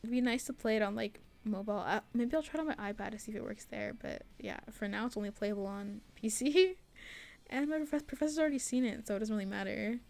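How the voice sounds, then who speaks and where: A woman's voice: medium pitch, fast, gravelly, a little mellow. A young adult woman giving a casual, rambling devlog voice-over in an informal, self-deprecating, giggly way. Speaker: student game developer and artist.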